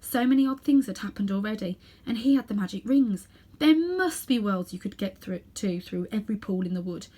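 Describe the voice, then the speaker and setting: A woman reads a children's story aloud in a breathy, slightly husky voice at a middle pitch. Her narration is expressive, leaning on key words and dropping into hushed asides. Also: fairly quick. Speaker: storybook reader.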